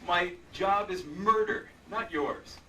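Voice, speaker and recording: An actor playing an officious, brusque young police sergeant who badgers people, in an old-time radio drama recorded live on stage. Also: male voice, sits high, takes it slowly, pushed and bright, heavily acted. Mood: brusque, irritated. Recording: distant live stage recording, faint noise.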